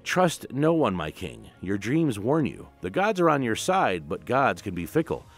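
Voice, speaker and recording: A man's voice: fairly low pitch, wildly swooping pitch, normal speed, faint music behind, full character voice. Man voicing a solemn, swooping priestess. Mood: ominous warning. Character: solemn, cryptic female high priestess.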